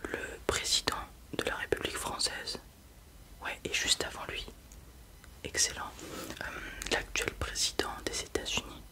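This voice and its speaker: An ASMR creator whispering softly and without voice while running a roleplay exam, giving gentle praise after every answer. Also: a little slow. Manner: soft, unvoiced whispering throughout. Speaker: ASMR creator playing an examiner. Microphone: close mic with left and right ear whispering.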